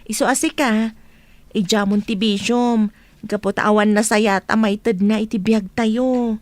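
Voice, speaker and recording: A woman's voice: fairly high pitch, steady tone, unhurried, darkish tone, dry recording, lightly acted. A woman playing a kind, supportive village friend in a radio drama, speaking earnestly and with sympathy. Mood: concerned, gossiping.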